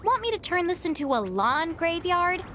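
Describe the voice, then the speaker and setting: A woman playing a feisty, sarcastic, easily spooked young sister in an animated game cutscene. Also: very high voice, normal speed, a little hiss or hum, heavily acted.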